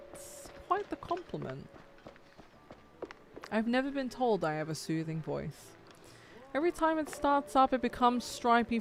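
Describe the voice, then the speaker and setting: A woman talking through a game on a livestream, chatting with viewers and reacting as she plays. Her voice sits at an everyday pitch and turns lively whenever something in the game excites her. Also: slight background noise. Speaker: streamer. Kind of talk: casual gaming livestream chat.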